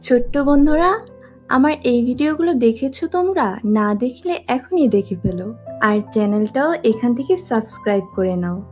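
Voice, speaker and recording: A woman's voice: a little high, animated, normal speed, a little mellow, soft background music. A woman giving a scripted channel outro, addressing young children as an announcer.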